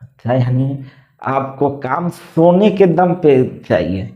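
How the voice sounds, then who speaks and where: A man's voice: medium pitch, very animated, slow pace, muffled recording. A man hosting a casual vlog and questioning a caller, pressing and repeating his questions. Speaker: channel host taking a phone call.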